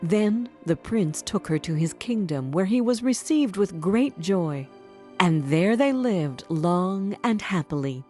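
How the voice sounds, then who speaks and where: A woman narrates fairy tales for children at an unhurried pace. Her scripted storytelling is expressive, with a soft mellow tone and lively rises and falls. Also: fairly low pitch, soft background music.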